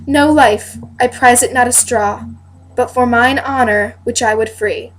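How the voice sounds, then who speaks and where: A young woman playing a wronged, dignified queen who defends her honour in deliberate, declamatory Shakespearean verse. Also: a little high, steady tone. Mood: wounded, defiant. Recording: noisy amateur home recording.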